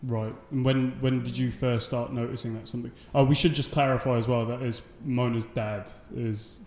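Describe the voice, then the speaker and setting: A man hosting a casual interview, relaxed and informal with loose, chatty phrasing. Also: medium pitch, moderate pace, echoey room, long reverb tail. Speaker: host.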